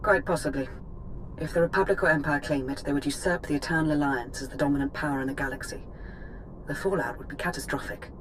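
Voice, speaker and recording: A woman's voice: low voice, fast, a little hiss or hum, lightly acted, rough recording. A woman playing a cool, capable sci-fi field agent in a video game, composed, precise and serious. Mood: grave. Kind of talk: video game cinematic acting.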